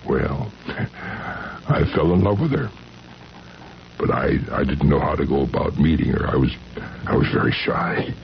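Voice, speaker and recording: A man acting in a 1970s radio drama as a shy, earnest, lovestruck engineer, speaking haltingly and eagerly. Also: rumbling low, lively intonation, gravelly, a little hiss or hum, full character voice. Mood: wistful recollection. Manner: halting, eager, repeating himself. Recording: dull vintage radio recording with music.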